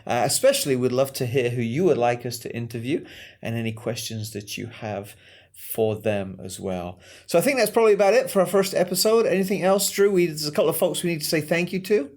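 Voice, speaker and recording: A man's voice: on the high side, expressive, fairly quick, darkish tone. A man co-hosting a local community podcast, talking in an earnest, friendly way with frequent fillers. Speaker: podcast co-host.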